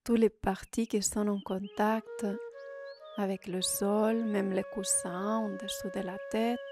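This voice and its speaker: A woman guiding a meditation as a yoga nidra teacher, in short, unhurried phrases with long pauses, giving soothing instructions. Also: medium pitch, little pitch movement, over music.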